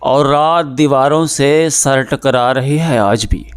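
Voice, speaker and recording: A young male poet recites couplets at a gathering in a middle, even voice. He repeats each verse, climbing higher in pitch on the lines that land. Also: slow, clear, resonant tone. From Pakistan. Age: young adult. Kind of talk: poetry recitation.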